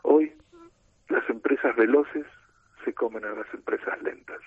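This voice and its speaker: A middle-aged man with an Argentine accent, a team coach explaining his ideas in a measured, lecturing way in a radio interview. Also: medium pitch, breathy edge, no echo. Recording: tinny phone line.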